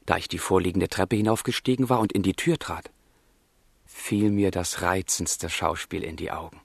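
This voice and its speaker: An actor playing an ardent, romantic young man who narrates his letters in a lyrical, expressive way in a radio play. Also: low-pitched, normal speed, gravelly, breathy edge, no echo, full character voice. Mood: fond reminiscing.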